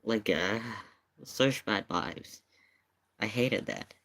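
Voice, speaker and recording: A man's voice: lowish voice, lively intonation, very slow, vocal fry, a bit bright. A man giving casual live commentary in an emphatic, questioning way, often repeating his points. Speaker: streamer reacting to paranormal investigation video.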